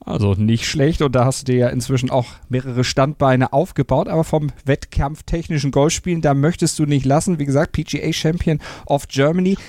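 A man's voice: medium pitch, steady tone, a little fast, hardly any pauses, a little mellow, dry recording, studio quality. A man hosting a sports podcast, asking fluent, conversational interview questions.

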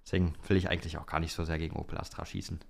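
Young male podcast co-host, low voice, slangy and conversational delivery. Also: level tone, very fast, vocal fry. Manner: colloquial, slangy. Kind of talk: casual chat about Formula One.

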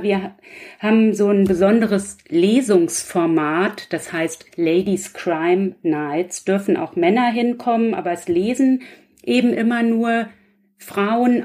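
Woman, medium pitch, casual podcast guest, chatty, loosely structured explanations. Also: dark tone. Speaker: guest author.

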